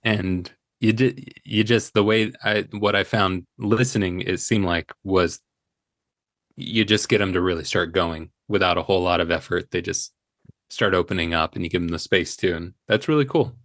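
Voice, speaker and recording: A man's voice: low-pitched, normal speed, fairly bright tone, no room sound, studio quality. An American man chatting casually and enthusiastically as a podcast guest. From United States. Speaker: podcast guest, audio drama creator. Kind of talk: casual chat.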